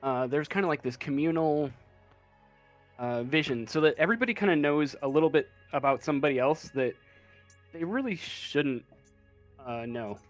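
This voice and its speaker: A man's voice: on the high side, normal speed, faint music behind. A man improvising tabletop game narration as game master, hesitant and often searching for words.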